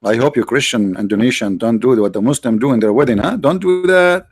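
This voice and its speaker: A man's voice: mid-range voice, fairly quick, breathy edge, no echo, clean studio sound. A man hosting a livestream, talking casually and sarcastically, with many restarts and fillers. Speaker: livestream host.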